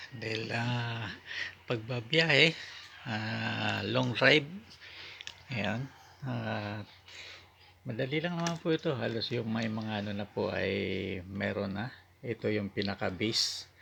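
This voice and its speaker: A man with a Filipino accent giving a casual product demonstration as a vlogger, in halting, piecemeal phrases. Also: lowish voice, creaky, fairly bright tone, a little hiss or hum. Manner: halting, piecemeal delivery with repeated short filler phrases. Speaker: vlogger reviewing gear.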